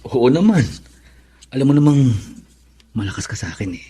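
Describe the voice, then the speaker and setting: An actor playing a sweet-talking, indulgent boyfriend in a Filipino radio drama. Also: male voice, mid-range voice, sing-song, brisk, dark tone, slightly rough. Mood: coaxing, amorous. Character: smooth-talking, indulgent boyfriend, a well-off suitor.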